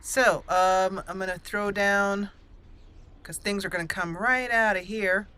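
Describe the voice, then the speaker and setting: A woman's voice: medium pitch, steady tone, a little slow, bright, forceful tone, clean tone. A woman hosting a game playthrough, fretfully talking through each move as she plays. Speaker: let's play host. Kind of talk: gameplay commentary.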